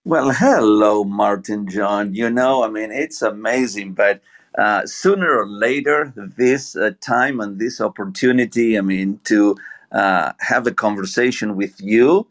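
A man from Argentina with an Argentine Spanish accent, speaking English as a guest on a video-call podcast. He talks politely and haltingly, with many fillers and restarts. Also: on the low side. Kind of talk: casual conversation.

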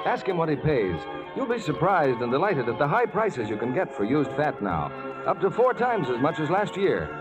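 Male announcer, raised pitch, very animated, 1940s sales read, muffled broadcast with music. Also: moderate pace. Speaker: radio announcer. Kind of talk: 1940s scripted radio announcing and ad copy. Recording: dull lo-fi 1940s radio broadcast.

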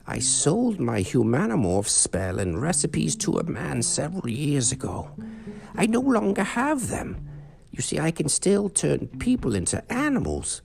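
A man voicing a kindly, fussy old witch woman in a quavering high voice. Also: huge pitch swings, unhurried, creaky, a little mellow, full character voice. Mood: worried. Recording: guitar music behind.

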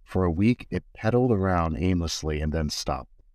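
Deep-voiced man reading poetry, dry sound. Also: lively intonation, normal speed, a little mellow, dry recording. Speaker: guest reader of poems.

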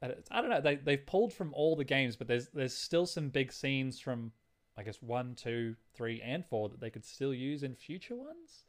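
A man co-hosting a podcast, chatting casually and excitedly like an enthusiastic fan about films and games. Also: medium pitch, moderate pace. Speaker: podcast co-host.

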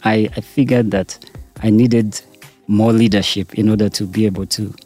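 Ugandan man, low husky voice. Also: steady tone, moderate pace, darkish tone, faint music behind. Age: 40s. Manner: hesitant, many fillers and restarts. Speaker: interview guest, entrepreneur. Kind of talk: casual interview conversation.

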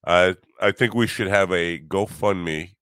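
Older guy rambling on his podcast, real deep grumbly voice, dry and wry. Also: measured pace, a bit bright, smooth, no echo. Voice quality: grumbly and low. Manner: wry, self-mocking. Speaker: podcast host. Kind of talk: rambling solo monologue.

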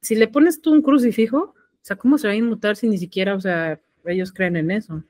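A woman's voice: medium pitch, a little fast, a little mellow. A young woman with a Mexican accent chatting casually as a podcast co-host, hesitant and full of fillers and slang. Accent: Mexican Spanish. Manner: slangy, hesitant, thinks aloud with many fillers. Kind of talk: casual chat.

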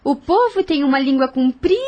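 Radio soap ingenue: shy, proper young woman, bright-spirited, high, swooping pitch. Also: expressive, fairly quick. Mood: coy, polite. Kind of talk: radio soap opera acting.

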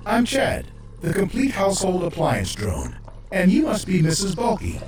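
A heavily echoing, distant robot voice, high-pitched and very melodic. It is an upbeat household drone from a children's audio drama, formal and courteous like a polished salesman. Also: huge pitch swings, moderate pace, crowd noise. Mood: cheerful, formal. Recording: strong echo, distant, music underneath.

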